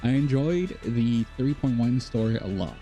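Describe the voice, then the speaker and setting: A man's voice: medium pitch, expressive, normal speed, warm tone, soft background music. A man live streaming a video game, chatting casually with viewers and thinking aloud in a rambling, repetitive way.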